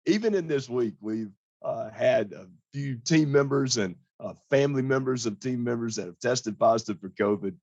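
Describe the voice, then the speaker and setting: A hoarse man, a financial advisor, giving a casual client update in a plain-spoken, conversational way. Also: medium pitch, normal speed, darkish tone, no room sound, lo-fi sound.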